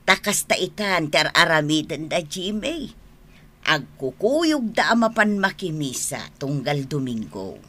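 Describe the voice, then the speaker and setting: An actress playing an expressive village mother in a radio comedy-drama. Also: sits low, unhurried, full character voice. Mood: pleased. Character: expressive village mother, talking with her in-law.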